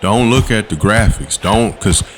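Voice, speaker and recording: A man, giving laid-back voice-over commentary on his gameplay in a slangy, coaching way. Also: slight background noise. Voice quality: low voice. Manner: laid-back, coaching, slangy with quick "boom" call-outs. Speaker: gaming channel host. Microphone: voice-over recorded separately from the footage.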